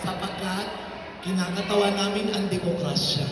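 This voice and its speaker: A man with a Filipino accent performing amateur stand-up comedy with deadpan setups and punchlines. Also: high voice, normal speed. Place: large reverberant hall. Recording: echoey hall, far off, very noisy.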